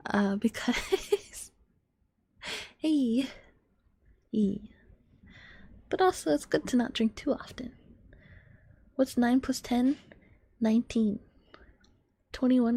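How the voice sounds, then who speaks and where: A woman of twenty-one chatting to her stream in a soft ASMR whisper, rambling and giggly. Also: a little high, dry recording.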